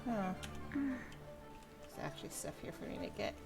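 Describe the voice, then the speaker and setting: A woman's voice: mid-range voice, huge pitch swings, measured pace, creaky. A woman game streamer giving casual live commentary as she plays. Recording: game music behind voice.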